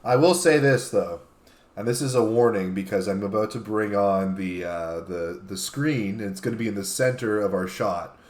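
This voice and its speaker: A man's voice: lowish voice, normal speed, darkish tone. A man co-hosting a show, talking casually. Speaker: show co-host running the videos. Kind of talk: casual chat. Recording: echoey room sound.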